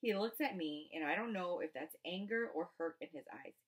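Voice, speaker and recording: A woman reading a first-person novel aloud as expressive narration. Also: on the low side, normal speed. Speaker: audiobook reader.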